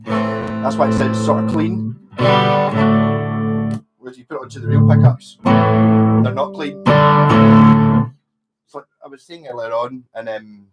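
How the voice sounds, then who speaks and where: A man hosting a livestream in casual chat, rambling and correcting himself as he reads viewer comments and greets people by name. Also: medium pitch. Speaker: livestream host talking guitars with chat.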